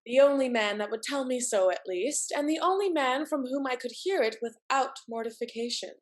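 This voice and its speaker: A woman acting a sharp-witted, effusive married lady in a Restoration comedy, theatrical and mannered. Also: high voice, lively intonation, fairly quick. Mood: coolly sarcastic.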